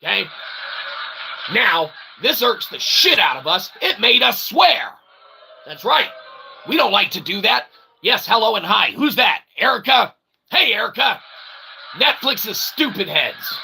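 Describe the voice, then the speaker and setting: A man's voice: high voice, big pitch swings, a little slow, gravelly, pauses often, pushed and bright, husky. A man hosting a livestream rant, profane, emphatic and repetitive, with wordy, mock-formal phrasing. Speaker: host of a livestream rant show.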